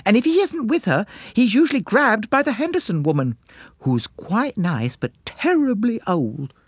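A man voicing excitable, giggly girls of eighteen in a story, with a gasping, gushing manner. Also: moderate pace, slightly rough, dry recording, studio quality, full character voice. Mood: gossipy. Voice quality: high, gasping. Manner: gushing, conspiratorial.

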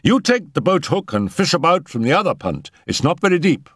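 A man's voice: on the high side, sing-song, brisk, fairly bright tone, breathy edge, no room sound, studio quality, voice pushed up, full character voice. A man voicing a grim, practical male doctor in a storybook, speaking in an abrupt, clipped way. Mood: decisive.

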